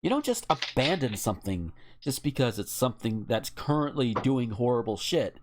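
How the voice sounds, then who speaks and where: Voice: medium pitch, very animated, moderate pace, background noise. An American speaker in casual, opinionated political chat, speaking emphatically and swearing freely. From United States. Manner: emphatic, profane, outspoken. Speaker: podcast co-host.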